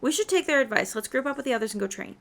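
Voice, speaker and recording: A woman's voice: high-pitched, lively intonation, rapid-fire, gravelly. A woman reading the game dialogue of a young, adventurous horse-riding heroine. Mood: purposeful. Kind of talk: read-aloud game dialogue.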